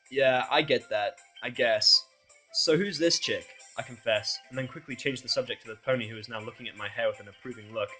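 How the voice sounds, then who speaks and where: A man's voice: mid-range voice, fairly bright tone, rough recording. A man narrating a first-person fan fiction audio drama in a sardonic, exasperated way.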